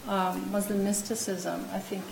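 A woman's voice: medium pitch, little pitch movement, moderate pace, gravelly. A woman professor on a discussion panel, telling chatty anecdotes with many fillers. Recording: echoey room with faint noise.

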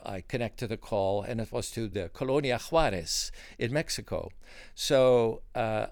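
An older man telling detailed stories of his past work in a casual, reminiscing way, with frequent pauses and fillers. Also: lowish voice, dry recording, clean studio sound. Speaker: interviewee, former switchboard operator. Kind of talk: conversational storytelling.

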